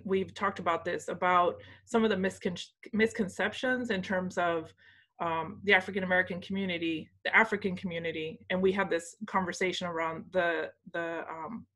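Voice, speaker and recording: A woman's voice: fairly low pitch, sharp tone. A woman moderating a webinar panel, encouraging and repeating key points for emphasis. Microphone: video call.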